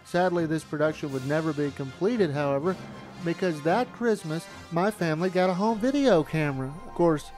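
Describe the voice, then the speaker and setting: A man's voice: on the high side, normal speed, a little mellow, soft background music, low-quality recording. A middle-aged man narrating a documentary, looking back on his amateur filmmaking.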